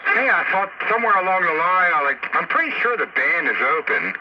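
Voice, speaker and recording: A man's voice: sits high, very animated, brisk. An American man chatting casually as an amateur radio operator over a radio link. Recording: dull, very noisy radio transmission. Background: heavy radio noise.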